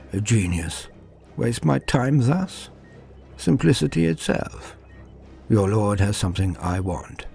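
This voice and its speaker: Horror audio tale villain played by a man: grandiose mad genius, gravelly and low, menacing, sneering at lesser mortals. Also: a little mellow, full character voice. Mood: arrogant, gloating. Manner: menacing, pompous, slow and savoring. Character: huge, bald, sinister mad scientist, condescending and grandiose. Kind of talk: dramatic horror storybook acting.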